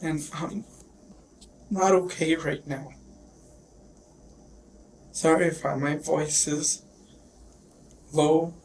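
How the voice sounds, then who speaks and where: Male police interviewee, slightly high monotone voice, shaken and halting, recovering from sickness. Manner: shaken, halting, repeats himself. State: getting over a sickness, shaken up. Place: police questioning, recorded on bodycam. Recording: noisy police bodycam audio.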